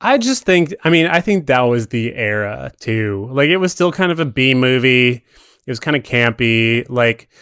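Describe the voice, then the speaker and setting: A man with a medium-pitched voice, recorded dry without room sound. He chats casually about films as a guest, measured and analytical, qualifying his points as he goes. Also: animated, fairly bright tone, clean tone, no echo. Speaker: podcast guest. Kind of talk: casual film discussion.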